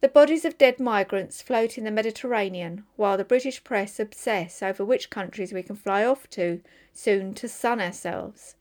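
A woman's voice: mid-range voice, moderate pace, a little mellow. A woman volunteer reader reading a newspaper opinion column aloud for listeners.

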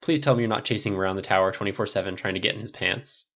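A man voicing a dry, gently teasing male military friend. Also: on the low side, rapid-fire, dull audio.

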